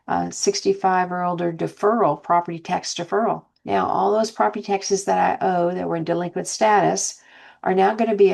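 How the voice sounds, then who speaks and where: A woman with a fairly low voice and very heavy vocal fry speaks as a counselor giving an informal lesson. Her intonation stays flat while she rambles through explanations and hypothetical examples. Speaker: housing counselor presenting.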